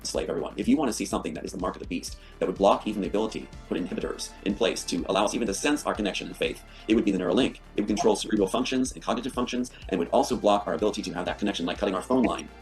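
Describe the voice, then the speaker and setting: Brief: deep-voiced male guest, rushed and hesitant, music under him. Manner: hesitant, full of uh and um, grandiose. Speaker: guest interviewee. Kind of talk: rambling interview answers.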